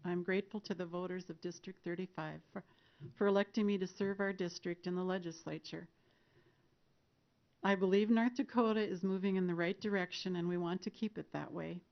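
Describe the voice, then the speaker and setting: A woman's voice: on the low side, steady tone, fairly quick, vocal fry. A woman who is a sitting state representative, speaking graciously and steadily at a candidate forum. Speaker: state legislator. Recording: dull lo-fi broadcast audio.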